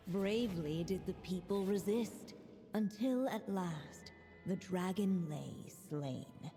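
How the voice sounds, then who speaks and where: A woman playing a gentle, solemn fairy-tale narrator in a video game, speaking in measured literary phrases with long reverb. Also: lowish voice. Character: gentle storybook narrator, an unseen female storyteller.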